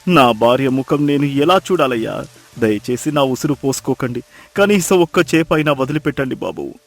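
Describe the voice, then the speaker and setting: A man's voice: medium pitch, lively intonation, normal speed, gravelly, soft background music. A man playing a poor, humble fisherman in a moral story, woeful and desperate. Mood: desperate pleading. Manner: pleading, submissive, woeful. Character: poor, humble fisherman begging his creditor for mercy. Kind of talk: dramatised moral story acting.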